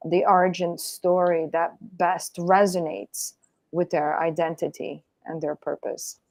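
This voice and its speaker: A woman with a somewhat low voice talks as an interview guest. Her sound is dry and close, with no room around it. She speaks in a measured, deliberate way and pauses often to think. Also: little pitch movement, a little mellow, dry recording. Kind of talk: reflective interview talk.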